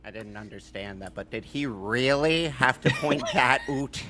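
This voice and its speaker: A man's voice: mid-range voice, lively intonation, unhurried, pushed and bright. A man playing a small, wise but awkward fantasy hero in a live table read, hesitant and stammering. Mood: awkward, amused. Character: short little guy, wise beyond his size, awkward.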